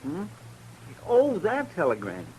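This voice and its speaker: A man's voice: high voice, huge pitch swings, takes it slowly, background noise, full character voice. A man playing a dutiful deputy sidekick in a 1950s radio western, speaking in an earnest, deferential, folksy way.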